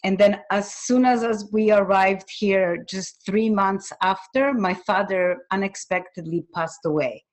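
Female interview guest from Poland, native Polish speaker, medium pitch, earnest and reflective. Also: measured pace, few pauses. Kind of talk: reflective personal storytelling.

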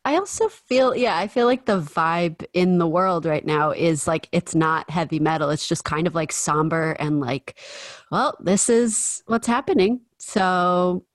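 Young woman podcast host, somewhat low voice, dry close sound, teasing and quick to laugh. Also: no room sound. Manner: playful, teasing, laughs easily. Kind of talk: casual interview chat.

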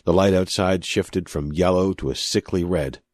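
A man's voice: sits low, moderate pace, darkish tone, no room sound, studio quality. A man narrating scripted fiction as an audiobook reader.

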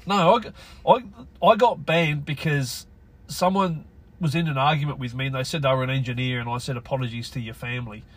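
Aussie guy, a bit husky, dry blunt humor, guest on a chat show. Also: medium pitch, a little fast. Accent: Australian. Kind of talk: casual conversation.

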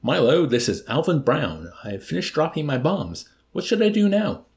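A man's voice: fairly high pitch, very animated, moderate pace, voice pushed up. A man reading an audiobook voicing a naive young male pilot who asks earnest questions. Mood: eager. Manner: earnest, questioning, over a loudspeaker.

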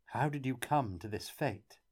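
A man voicing a travelling player who speaks gently and calmly. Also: mid-range voice, a little slow, husky, pitched above the performer's own voice. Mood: gentle. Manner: gentle, calm.

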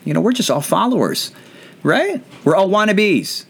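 A man's voice: sits high, very animated, normal speed, gravelly, a bit bright, husky. A Filipino man, not a native English speaker, hosting a casual, rambling, self-deprecating chat show. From Philippines. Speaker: podcast host.

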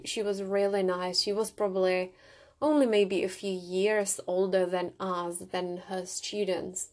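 A woman's voice: mid-range voice, darkish tone. A young adult woman with a Czech accent, hosting a solo podcast and telling personal stories in a reflective way with small restarts. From Czech Republic. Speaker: podcast host.

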